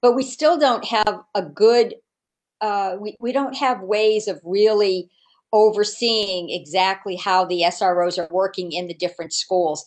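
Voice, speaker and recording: Measured middle-aged woman legislator on a call. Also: medium pitch, fairly even intonation. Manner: measured, explanatory. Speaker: state legislator. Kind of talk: explaining policy on a video call. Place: living room at home, indoors. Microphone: video call webcam.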